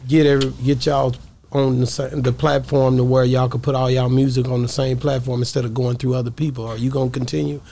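A man's voice: medium pitch, little pitch movement, a little fast, gravelly, warm tone, slight background noise. A middle-aged man hosting a podcast interview, asking questions and encouraging his guest in a casual studio chat. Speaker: podcast host. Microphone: studio mic, headphones.

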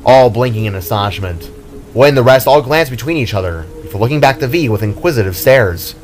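A man's voice: medium pitch, animated, brisk. A man reading his fantasy story aloud as a dramatic scripted narration. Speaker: author narrating own story.

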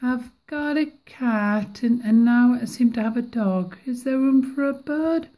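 A woman voicing a kindly, cheerful female witch in a picture-book reading, in a higher voice. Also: measured pace, mellow, close to an everyday voice.

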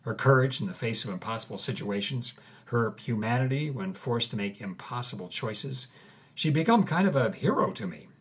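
A man's voice: medium pitch, animated, normal speed, husky. A man playing an earnest journalist, narrating a scripted documentary podcast in an audio drama. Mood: sincere. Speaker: host, narrator. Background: music under the voice.

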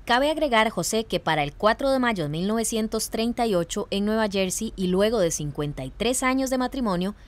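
A woman host giving scripted narration in a measured, formal manner, like a teacher. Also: fairly high pitch, lively intonation.

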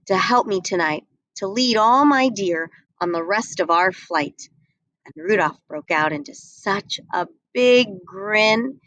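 Slow, expressive young woman reading aloud. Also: medium pitch, no room sound, low-quality recording. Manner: slow, expressive rhyming delivery. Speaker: storybook reader. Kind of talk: read-aloud storytelling. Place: small indoor room. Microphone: webcam at desk.

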